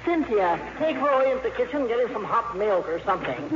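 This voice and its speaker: An older woman playing a brisk, bossy but caring grandmother in a 1950s radio drama, with a commanding manner. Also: fairly high pitch, big pitch swings. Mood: brusque. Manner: brusque, commanding. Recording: muffled, noisy old 1950s radio recording.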